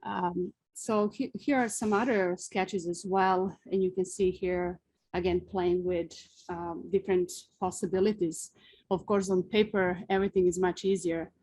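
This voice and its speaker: A woman in her forties from Serbia with a Serbian accent, a sculptor giving a careful, unhurried webinar presentation with frequent fillers, speaking outdoors. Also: on the low side, fairly even intonation, a little mellow, poor audio.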